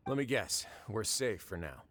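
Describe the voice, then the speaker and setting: A man's voice: fairly low pitch, expressive, moderate pace, a bit bright. An actor voicing a wry, confident, easygoing man with casual, teasing delivery in a video game. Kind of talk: game voice acting.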